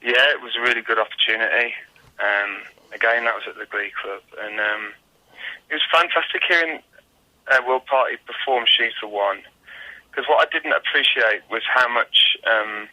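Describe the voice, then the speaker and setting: A British man speaking as a musician guest in a casual interview, thoughtful, modest and measured in how he talks. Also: mid-range voice, bright, forceful tone, rough recording. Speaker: guest singer-songwriter.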